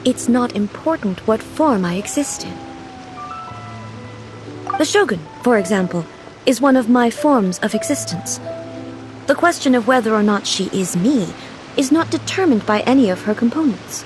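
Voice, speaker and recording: A woman playing a solemn, naive deity ruler in a video game, speaking in a formal, measured, earnest way. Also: on the high side, expressive, music in the background, lightly acted. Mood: solemn reflection. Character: solemn, naive female deity ruler, puzzled by modern things. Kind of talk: scripted video game dialogue.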